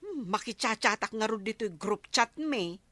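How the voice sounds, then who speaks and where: Bright, high-voiced gossipy Ilocano village woman played by an actress. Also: lively intonation, normal speed, no room sound. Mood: chatty, amused. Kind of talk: Ilocano radio comedy drama acting.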